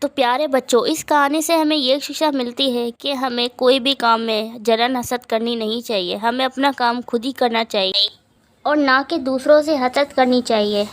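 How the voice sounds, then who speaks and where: Brief: woman storyteller for kids, slightly high voice, plain narration with a moral at the end. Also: fairly even intonation, moderate pace, no echo. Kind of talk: storytelling for children.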